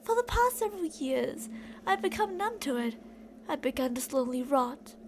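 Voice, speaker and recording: A woman's voice: sits high, very animated, moderate pace, slight background noise. A woman playing an obsessive, delusional young captor in an intimate, rambling ASMR roleplay monologue addressed to a captive. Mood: numb, bitter.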